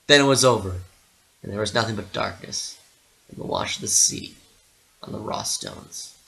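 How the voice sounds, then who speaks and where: A man narrating a literary story dramatically, with theatrical, deliberate phrasing. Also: lowish voice, creaky, pushed and bright, poor audio.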